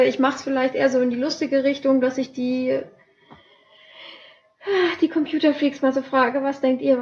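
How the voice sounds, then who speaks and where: A woman's voice: high voice, darkish tone. A young woman co-hosting a casual podcast, chatty and cheerful, with plenty of fillers. German is her first language. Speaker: podcast co-host. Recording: dull lo-fi home podcast recording.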